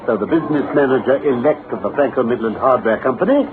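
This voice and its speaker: An actor playing a brisk, commanding English gentleman detective with precise, authoritative speech in an old-time radio drama. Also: medium pitch, animated, background noise, lightly acted. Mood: brisk, assured. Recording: tinny old radio recording, music behind.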